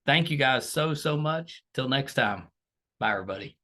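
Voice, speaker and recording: Bright-voiced American man hosting a podcast. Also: medium pitch, animated, normal speed. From United States. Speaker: podcast host. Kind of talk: casual podcast outro and appeal to listeners.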